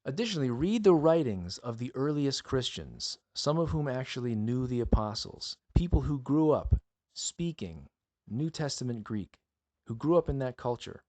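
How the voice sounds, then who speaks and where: A man's voice: medium pitch, sing-song, normal speed, darkish tone. Man giving emphatic Bible teaching. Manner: emphatic, with rhetorical questions and repeated appeals. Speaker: podcast host teaching the Bible. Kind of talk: earnest Bible teaching monologue for a podcast.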